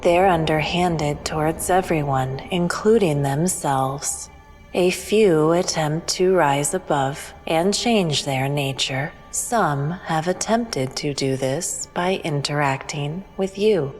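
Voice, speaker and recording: A woman playing a calm, all-knowing alien who speaks in a measured, formal, cryptic way in a sci-fi audio drama. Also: sits low. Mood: grave, detached. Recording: long reverb, music underneath.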